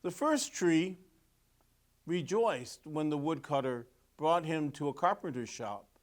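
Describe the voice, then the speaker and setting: A man reading a children's story aloud as a clergyman, with deliberate, emphatic phrasing and pauses. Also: a little high, lively intonation. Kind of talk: expressive storybook read-aloud with asides on pictures.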